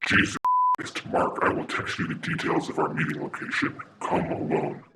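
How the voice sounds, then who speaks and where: A man playing a secretive, paranoid anonymous caller who disguises his voice with a robotic, whispery filter. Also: normal speed. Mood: guarded, irritated. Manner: paranoid, evasive, impatient. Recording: disguised phone call with loud noise.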